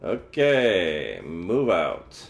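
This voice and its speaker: A man's voice: low-pitched, huge pitch swings, very slow, creaky. A man livestreaming a visual novel, reading the text aloud casually with jokey, muttered asides. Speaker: streamer playing a visual novel. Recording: roomy sound, faint game music. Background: faint game music.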